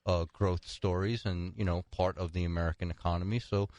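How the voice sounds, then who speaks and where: A man's voice: deep voice, fairly even intonation, fairly quick, a little mellow, dull audio. A man co-hosting a financial talk show, moving between prepared article summaries and conversational comments. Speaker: co-host presenting financial newsletter articles.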